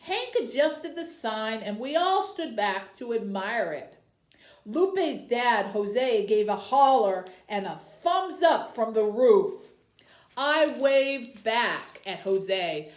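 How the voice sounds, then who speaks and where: Slow-paced, higher-voiced woman with very lively intonation. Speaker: youth services librarian hosting a book program. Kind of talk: book talk and read-aloud for tweens.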